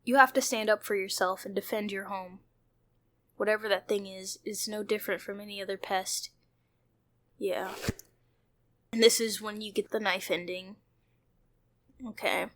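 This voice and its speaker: A woman's voice: medium pitch, steady tone, unhurried, pauses often, fairly bright tone. A woman hosting a playthrough, reading visual novel narration aloud and adding her own commentary. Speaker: host of a video game playthrough channel. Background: background music.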